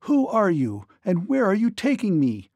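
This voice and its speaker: A man's voice: a little high, animated, a little slow, warm tone, husky, no room sound, exaggerated voice. A man voicing a frightened but indignant young girl captured by a giant in a storybook. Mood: alarmed.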